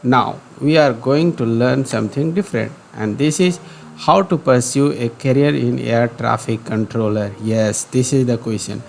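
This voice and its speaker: A man's voice: medium pitch, moderate pace, vocal fry, a little hiss or hum. A man with an Indian accent presenting career guidance as a scripted explainer, in a didactic way that repeats key points for emphasis.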